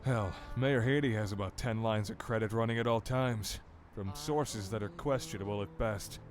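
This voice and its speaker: 남자가 연기하는 낮은 목소리의 진지한 정치인. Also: male voice, on the low side, faint music behind, natural voice. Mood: earnest, persuasive. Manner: sincere, measured, politician's polish. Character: earnest, persuasive male mayoral candidate. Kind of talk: audio drama acting.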